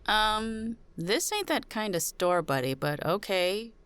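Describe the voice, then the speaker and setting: A woman's voice: mid-range voice, very animated, slow, a bit bright, smooth, pitched above the performer's own voice. A woman playing a wary, sarcastic ordinary woman in a supernatural suspense audio drama, with a skeptical, informal delivery.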